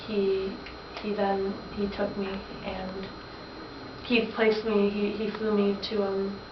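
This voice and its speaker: Haunted young female victim, played by a woman, hesitant and flat, in a noisy, roomy mock interview. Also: mid-range voice, natural voice. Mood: subdued, halting. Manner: halting, hesitant. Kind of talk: student-acted mock interview testimony. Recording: echoey, distant, noisy lo-fi room recording.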